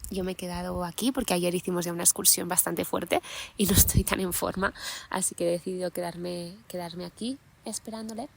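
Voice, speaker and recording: A young woman hosting a podcast, musing aloud in an unscripted monologue while sitting outdoors in a mountain meadow, thoughtful and unhurried with many pauses and restarts. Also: fairly low pitch, fairly even intonation, creaky, a little hiss or hum. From Catalonia, Spain. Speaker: podcast host.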